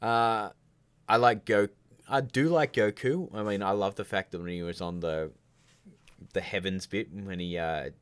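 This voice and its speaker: A man with a deep voice hosts a casual live Q&A. He rambles from one answer to the next, candid and opinionated, slipping in jokey asides. The sound is dry, with very little room around the voice. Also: unhurried, smooth, dry recording. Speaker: livestream host of a filmmaking show.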